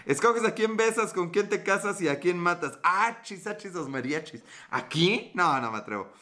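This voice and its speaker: Mexican host, 30s, casual live chat, swears and jokes, bright tone. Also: male voice, sits high, animated, normal speed. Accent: Mexican Spanish. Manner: joking, profane, playful. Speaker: podcast host. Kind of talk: casual live-stream chat reading comments.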